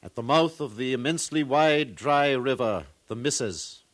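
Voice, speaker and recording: Man playing learned curator type, mid-pitched, formal and professorial, old radio drama. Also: measured pace, natural voice. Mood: scholarly, pleased. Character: learned, courtly male museum curator, proud and scholarly. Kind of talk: 1950s radio acting.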